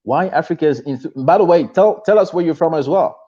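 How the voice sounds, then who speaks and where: A man's voice: fairly high pitch, animated, a little fast, warm tone, dull audio. A man from the DR Congo hosting a live-stream interview show, talking effusively with lots of restarts and fillers. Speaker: show host.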